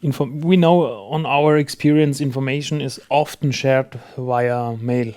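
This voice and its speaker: Non guy chatting on a business podcast, mid pitch, agreeable, kinda halting while he finds words. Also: fairly even intonation, dark tone, smooth. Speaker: podcast host. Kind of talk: conversational business podcast discussion.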